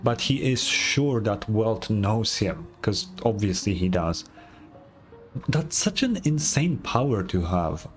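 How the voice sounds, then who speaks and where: A man's voice: lowish voice, lively intonation, takes it slowly. A man giving casual, blunt, sweary live commentary as he plays a video game, with music faintly behind. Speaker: streamer reacting to a game.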